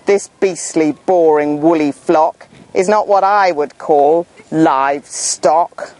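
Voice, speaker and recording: A woman's voice: low-pitched, takes it slowly, darkish tone, dry recording. A woman voicing a bored, mischievous young shepherd boy in a storybook, with exaggerated, dramatic delivery. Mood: fed up. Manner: exaggerated, dramatic calling and complaining.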